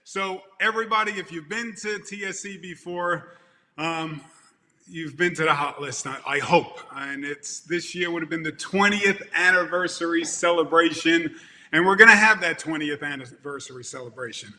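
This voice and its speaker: Brooklyn man, bright punchy voice. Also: a little high, moderate pace, long reverb tail. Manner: animated, gesturing, repeats words for emphasis. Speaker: event producer speaking to a conference. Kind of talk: energetic motivational talk. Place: home room with plants and lamps, indoors. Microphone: video call webcam.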